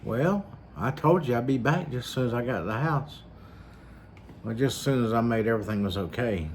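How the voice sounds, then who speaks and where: A man's voice: medium pitch, expressive, a little fast. A man with a slightly scratchy throat, rambling folksily in a casual vlog with lots of fillers. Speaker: vlogger. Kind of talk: casual rambling monologue to camera.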